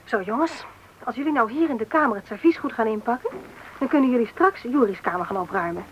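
A woman's voice: fairly high pitch, lively intonation, fast talker, muffled recording, natural voice. An actress playing a practical, fussing, caring mother in a radio play, a woman easily worried. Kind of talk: radio play acting, natural dialogue. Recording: noisy radio play sound scene.

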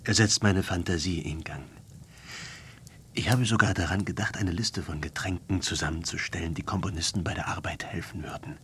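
Eccentric lovesick artist, low voice, halting, musing, radio-drama style. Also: male voice, a bit bright, a little hiss or hum. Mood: animated, wry. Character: sleepless brooding male artist, eccentric and lovesick. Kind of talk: German radio play acting.

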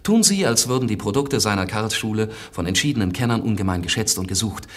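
An actor playing an idealistic, confident young poet and army doctor in a radio drama, speaking earnestly and with spirit. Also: male voice, lowish voice, lively intonation, fast. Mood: confident, scheming.

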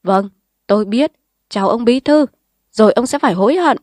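Woman doing a bold scheming village man, raised voice, forceful, dry close sound, storybook reading. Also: mid-range voice, normal speed, no echo, natural voice. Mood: defiant. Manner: heated, confrontational.

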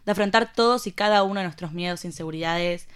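A woman's voice: fairly low pitch, fast, clear, resonant tone, no echo, close to an everyday voice. A woman playing a bossy, impulsive, foul-mouthed farm girl, sarcastic and brash, in a comic audio drama. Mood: impatient.

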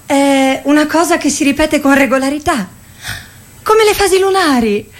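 An actress plays a curious, somewhat naive woman retelling her girlhood. Her voice is on the high side, delivered as a radio theatre monologue. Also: moderate pace, close to an everyday voice.

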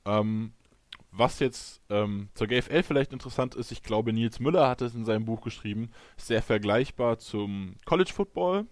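A man from Frankfurt, co-hosting a casual podcast in a measured way with frequent hesitations. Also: mid-range voice, lively intonation, no room sound. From Frankfurt, Germany. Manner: measured, hesitant with ähm fillers. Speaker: podcast co-host. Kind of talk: casual chat.

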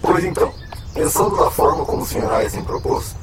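A man's voice: a little fast, loud music behind the voice. A man playing a patient scientist with a synthetic voice in an educational radio drama, explaining in a didactic way. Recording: very noisy, distant recording.

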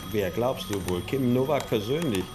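Music plays clearly under a man acting as a sardonic police detective in a radio crime drama. His middle-pitched voice has a dry, teasing, natural delivery. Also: expressive, normal speed, close to an everyday voice. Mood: mocking. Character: mocking, wisecracking male police detective. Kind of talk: naturalistic German radio play dialogue.

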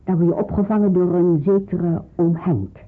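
A woman playing a middle-aged pastor's wife in a radio drama, caring and practical. Her voice is husky, and she explains things in a reassuring, slightly hesitant way. It sounds muffled, as if down a phone line. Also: lowish voice, steady tone, normal speed, natural voice. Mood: briskly explaining. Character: caring, devout pastor's wife aiding people in hiding. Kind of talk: Dutch radio drama acting.

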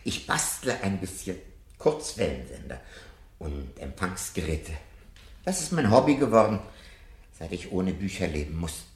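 Radio drama: anxious elderly professor, low register, very mobile intonation, timid intellectual. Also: male voice, very animated, unhurried, exaggerated voice. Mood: confiding. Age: older. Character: timid, anxious old former literature professor. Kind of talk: 1970s German radio play acting.

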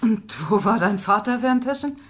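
A woman's voice: fairly high pitch, lively intonation, moderate pace. An actress playing a guarded middle-aged mother in a radio drama, motherly but uneasy, answering briefly. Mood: startled, uneasy. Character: guarded mother of a grown daughter. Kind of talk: German radio drama acting.

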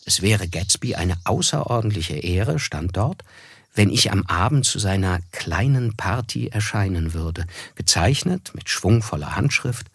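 An actor narrating a German literary radio play as an observant, reflective writer, measured and wry, with music behind him. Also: male voice, sits low, expressive, vocal fry, fairly bright tone, husky, dry recording, heavily acted.

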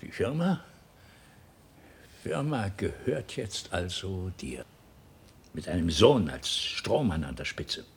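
An actor in a German radio drama playing a choleric, bitter middle-aged husband and company boss, speaking haltingly and with volatile feeling. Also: male voice, low voice, sing-song, a little hiss or hum, exaggerated voice. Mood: bitter, furious. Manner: stammering, emotionally volatile.

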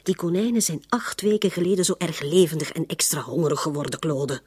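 A woman's voice: deep voice, a little fast, a little mellow, slightly rough, no room sound, clean studio sound. An actress playing a worried, stern middle-aged wife and mother, speaking pointedly in a radio drama. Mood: grave, accusing. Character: worried, insistent mother and wife, sharply suspicious.

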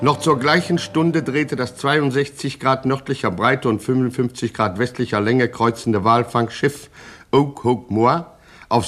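An actor as the formal, dryly ironic narrator of a satirical gangster radio play. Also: male voice, medium pitch, a bit bright, natural voice.

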